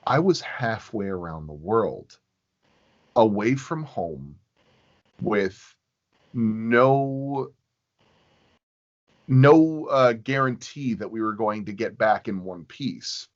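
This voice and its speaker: A man chatting casually as a podcast guest, halting and pausing as he thinks aloud. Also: on the low side, expressive. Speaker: podcast guest, sound designer. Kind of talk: casual interview chat. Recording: dull, lo-fi voice recording.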